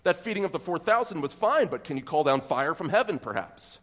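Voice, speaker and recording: A man's voice: a little high, lively intonation, fairly quick, fairly bright tone, in a large hall, voice pushed up. A man voicing scornful Pharisee men who mockingly demand a sign, in a needling, sarcastic tone. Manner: sneering, needling questions.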